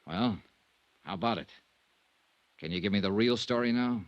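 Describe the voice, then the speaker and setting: An American actor playing a tough, level-headed insurance investigator in vintage radio noir style, clipped and plain-spoken. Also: male voice, lowish voice, normal speed, no echo, natural voice. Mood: firm, persuasive. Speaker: lead actor and narrator. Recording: dull, dry vintage radio recording.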